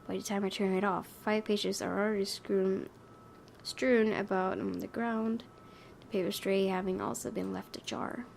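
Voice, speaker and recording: A woman's voice: little pitch movement, normal speed, gravelly, a little mellow. A woman doing let's play narration, reading a story aloud expressively with casual, offhand asides. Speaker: gaming video host, reading a visual novel aloud. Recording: faint low rumbling background noise.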